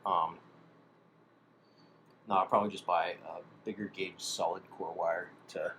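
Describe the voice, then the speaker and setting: A man's voice: low-pitched, steady tone, unhurried, a bit bright. A man casually thinking aloud as he demonstrates hobby work at a bench, tentative and speaking in fragments. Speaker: hobbyist demonstrating a radio-to-amp conversion. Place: indoor workbench. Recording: clearly noisy room recording.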